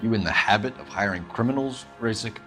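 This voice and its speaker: A man's voice: lowish voice, fairly quick, bright, forceful tone, faint music behind. An American man playing an intense, accusing arson detective in a video game, with clipped, interrogating speech. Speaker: police detective. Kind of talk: video game dramatic acting.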